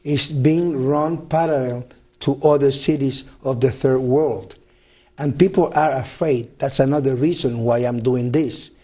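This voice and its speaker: A man's voice: medium pitch, steady tone, unhurried, warm tone. A man who is a reverend and organizer, speaking earnestly in a studio interview and repeating words as he goes. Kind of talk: studio talk show interview.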